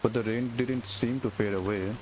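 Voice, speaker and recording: A man's voice: medium pitch, steady tone, moderate pace, background noise. A man doing casual gaming commentary, reacting with short questioning asides as he plays. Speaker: gaming streamer. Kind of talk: casual game playthrough commentary. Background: game music and rain sounds.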